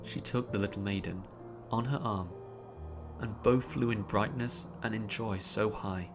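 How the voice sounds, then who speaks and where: Low-voiced male storyteller over music. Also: steady tone, unhurried. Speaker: audiobook narrator. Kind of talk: dramatic scripted story narration. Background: music behind the voice.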